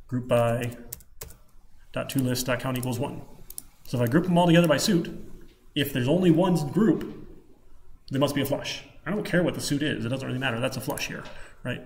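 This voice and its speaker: A man with a Michigan accent and plenty of fry in his voice teaches programming informally. He rambles and thinks aloud at a middling pitch, with typing and faint noise behind him. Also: darkish tone. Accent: Michigan Midwestern American. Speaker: programming lecturer. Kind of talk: informal live coding lecture. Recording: clicking keyboard, faint room noise. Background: keyboard typing.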